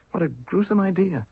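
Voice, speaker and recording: A man's voice: high-pitched, creaky, husky, dry recording, exaggerated voice. An actor playing a proud young male pharaoh, regal and formal, in a 1970s radio drama. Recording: narrow, tinny 1970s radio recording.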